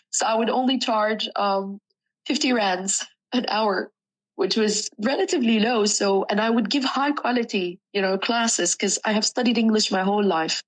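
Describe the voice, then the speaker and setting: A young woman from Sudan, a native Arabic speaker, earnestly reflecting on her life in an interview, pausing with ums and correcting herself. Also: mid-range voice, rough recording. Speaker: student interviewee. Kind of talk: personal interview.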